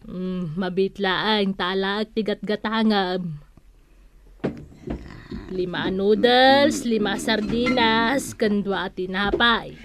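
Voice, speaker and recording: A woman's voice: medium pitch, fairly even intonation, takes it slowly, slight background noise. A woman playing a sly, defensive village shopkeeper in a comic radio drama, drawling and evasive. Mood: sly, businesslike. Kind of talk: broadcast radio comedy drama acting.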